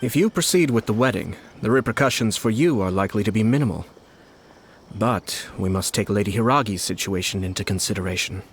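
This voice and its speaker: A man playing a calm, calculating noble. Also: on the low side, slight background noise, close to an everyday voice. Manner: measured, polite and calculating. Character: calm, scheming young male noble commissioner. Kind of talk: video game cutscene dialogue.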